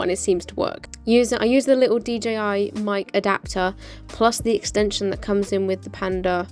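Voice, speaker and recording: A woman's voice: mid-range voice, a little mellow. A woman with a British accent giving a chatty, informal, practical explainer as a motorcycle vlogger. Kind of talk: casual explainer of a gear setup.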